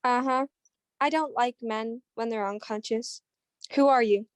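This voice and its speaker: A woman acts out a cool, knowing dame in an old-time radio detective play. Her voice sits fairly high and is recorded very dry, and her wit is just as dry. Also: steady tone, a little slow, clean tone, no room sound, natural voice. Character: cool, dry-witted female mystery woman. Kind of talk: 1940s radio mystery acting.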